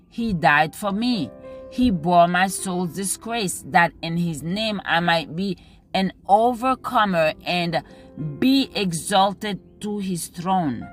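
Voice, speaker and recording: A woman reading a devotional text and scripture in a measured, deliberate manner, pausing between phrases. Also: medium pitch. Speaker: devotional reader. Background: music under the voice.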